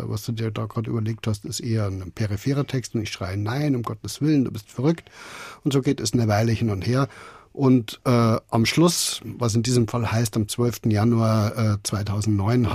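A man's voice: on the low side, a little mellow, husky, dry recording, studio quality. A man speaking as a radio drama director in an interview, conversational and reflective, often hesitating as he searches for words.

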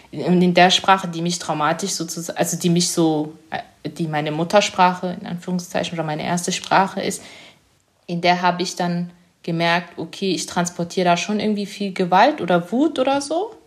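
A young woman in a reflective interview, her voice sitting a little on the low side. She talks hesitantly, circling and searching for the right words, with frequent small fillers. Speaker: author interviewed about her book.

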